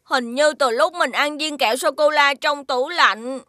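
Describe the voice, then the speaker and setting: A woman's voice: high-pitched, measured pace, bright, forceful tone, no echo, studio quality. A woman voicing a small, childlike, earnest alien kid in a children's cartoon. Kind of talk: children's animated series dubbing.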